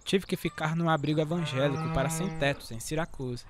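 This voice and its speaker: A man's voice: fairly high pitch, a little fast, a crowd in the background, lightly acted. An actor playing a caring, devout young man, an older brother, speaking earnestly in a radio drama. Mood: earnest, calm.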